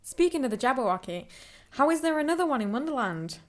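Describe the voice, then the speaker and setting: Actress as brave young fantasy heroine, high-pitched, earnest, resolute, radio play delivery. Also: female voice, very animated, quick pace. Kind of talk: amateur fantasy radio drama acting.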